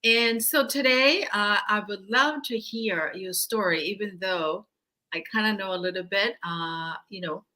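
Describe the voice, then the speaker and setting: A woman hosts a relaxed online interview with a bright, clear tone. She speaks upbeat, asking questions with easy fillers. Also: medium pitch, unhurried. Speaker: host. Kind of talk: casual interview hosting.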